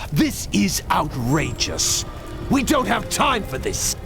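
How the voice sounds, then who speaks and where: A man's voice: high-pitched, wildly swooping pitch, slow, exaggerated voice. An actor playing a stern, suspicious witch hunter in a fantasy game, cold and disapproving. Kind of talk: game cutscene voice acting. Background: orchestral game music.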